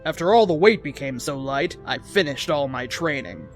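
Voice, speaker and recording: A man's voice: fairly high pitch, animated, normal speed. A man reading a fan fiction story aloud as host, in a dramatic narrating style. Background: background music.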